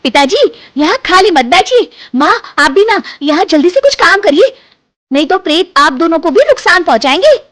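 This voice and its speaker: A woman voicing a lazy, spoiled and hot-tempered young wife in an animated story, talking in a shrill, whiny and fretful way. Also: normal speed, no room sound, full character voice. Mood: eager. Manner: loud, fretful and easily frightened.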